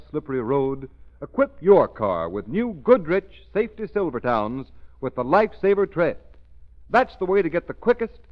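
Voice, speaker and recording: A man announcing a radio program and reading a sponsor's pitch in a 1930s broadcast, emphatic and persuasive. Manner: emphatic, persuasive salesman's delivery. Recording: dull old radio broadcast.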